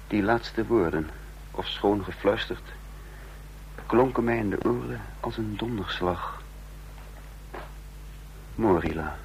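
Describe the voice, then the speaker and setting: An actor playing a stern intelligence chief who also narrates a radio drama, grave, deliberate and authoritative. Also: male voice, fairly low pitch, slight background noise, low-quality recording. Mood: grave, haunted. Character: commanding intelligence chief, coldly furious, remorseful narrator. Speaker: narrator and lead character. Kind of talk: dramatic radio play acting.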